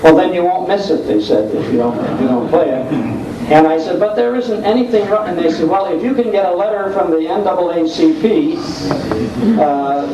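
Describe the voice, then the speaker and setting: Plainspoken American male radio host, anecdotal, slightly high register, far from the mic amid clear noise. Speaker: vintage radio show host, panelist. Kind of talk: informal anecdotal panel talk. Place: convention room before an audience. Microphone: informal video recording, mic at a distance. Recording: noisy echoey room, distant mic.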